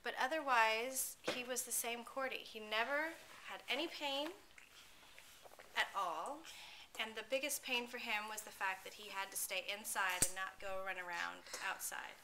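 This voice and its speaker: A woman's voice: a little high, normal speed. A woman in her thirties giving an earnest, conversational testimonial with small restarts, filmed at home on a camera close to her face. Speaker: pet owner giving a testimonial. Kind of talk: casual spoken testimonial. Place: small room at home, indoors. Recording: noisy home video recording. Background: steady background noise.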